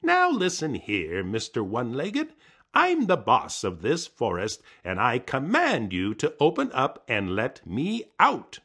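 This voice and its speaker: A man voicing a boastful, bossy male coyote who shouts and commands. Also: mid-range voice, huge pitch swings, measured pace, breathy edge, voice pushed up, heavily acted.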